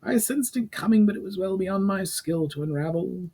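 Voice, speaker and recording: A man's voice: high voice, moderate pace, gravelly, dark tone, breathy edge, pitched above the performer's own voice, full character voice. A man voicing a wizened, injured old archmage, grave and cautioning, in a fantasy audiobook. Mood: rueful.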